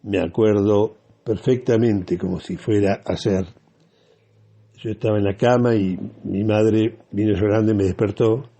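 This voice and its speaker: Low, husky Argentine man, slow-paced. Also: steady tone, warm tone. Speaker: radio host. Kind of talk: opinionated radio news commentary.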